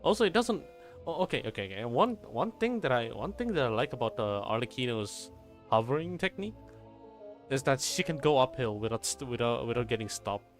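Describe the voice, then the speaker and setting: A man's voice: medium pitch, animated, brisk. Rambling male game streamer over faint music. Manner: rambling, stammering, sweary. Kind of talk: casual live gameplay commentary.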